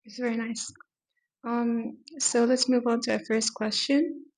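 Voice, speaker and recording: A woman's voice: a little high, level tone, measured pace, a little mellow, rough recording. A young adult woman hosting a podcast, reading prepared questions with hesitant ums. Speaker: podcast host.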